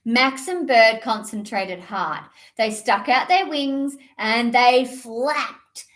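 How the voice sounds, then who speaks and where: A woman presenting children's storytime, reading picture books aloud with expressive, deliberate delivery aimed at young children. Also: fairly high pitch.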